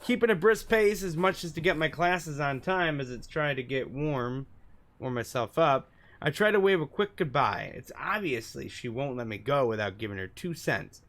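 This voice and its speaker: Higher-voiced man reading a game aloud. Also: lively intonation, normal speed, a bit bright. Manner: stumbles over words while reading aloud. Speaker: gaming YouTuber. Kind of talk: let's play commentary, reading game text aloud. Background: background music.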